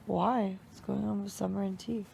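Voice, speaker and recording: A young woman with a raspy voice, chatting casually in a teasing way. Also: mid-range voice, steady tone, moderate pace, mellow, slight background noise. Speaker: reality show contestant. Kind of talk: casual late-night banter.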